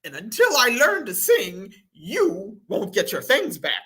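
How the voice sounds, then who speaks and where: A woman voicing a greedy male dog trickster from a children's story, wheedling and bullying in an animated way. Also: a little high, measured pace, slightly rough, full character voice. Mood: vain, teasing. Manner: wheedling turning to growled threats.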